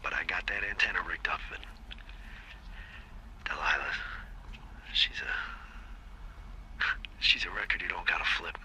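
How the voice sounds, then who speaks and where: A man's voice: sits low, a little slow, pauses often, bright, forceful tone, whispered, exaggerated voice, low-quality recording. An actor playing a bitter, defensive middle-aged recluse and father in a video game, rambling on tape in folksy, profane language. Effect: played back from a tape. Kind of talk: taped confession in a video game drama. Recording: taped voice with faint noise.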